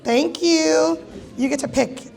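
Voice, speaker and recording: Raspy, playful woman hosting a gala. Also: sits high, takes it slowly, a little mellow, some chatter behind. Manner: playful, teasing, jokey asides. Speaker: event host calling raffle draws. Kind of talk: live emcee banter at a gala.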